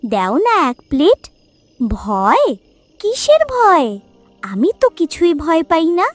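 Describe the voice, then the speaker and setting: A woman's voice: very high-pitched, huge pitch swings, slow pace, no room sound, faint music behind, heavily acted. A woman voicing a bold, cheeky, curious young girl in a children's cartoon, with a playful, exclaiming manner.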